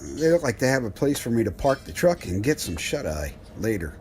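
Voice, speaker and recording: An actor playing a weary, skeptical truck driver with a husky, folksy, colloquial way of talking in a radio mystery drama. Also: male voice, mid-range voice, very animated, a little fast, background noise, lightly acted. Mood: amused, skeptical.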